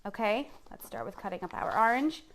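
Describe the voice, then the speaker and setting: A woman's voice: mid-range voice, very animated, fairly quick, a bit bright. A middle-aged woman from Puerto Rico chatting informally as a chef on a live cooking show, often checking in with viewers. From San Juan, Puerto Rico. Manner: chatty, informal, addresses viewers directly with frequent "okay?" tags. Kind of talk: casual live-streamed cooking demo chat.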